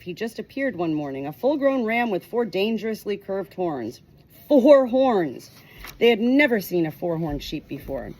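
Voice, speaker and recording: An expressive woman reads a storybook chapter aloud, her pitch swooping widely as she tells it. Faint background noise sits under her voice, with a rooster crowing now and then. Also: rough recording. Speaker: audiobook reader.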